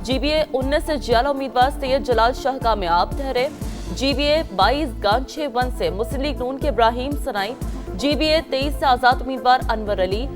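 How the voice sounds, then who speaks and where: A woman news anchor with a Pakistani accent delivers scripted headlines. Her voice sits fairly high, and prominent music plays underneath. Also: moderate pace. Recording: loud music bed under voice.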